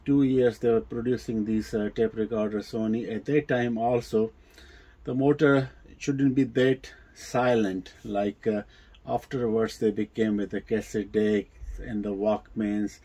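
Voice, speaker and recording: A man with a non-native English accent giving a casual, unscripted demonstration in a halting, hesitant way with repeated words. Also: fairly low pitch, steady tone, warm tone. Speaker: presenter of vintage audio equipment videos.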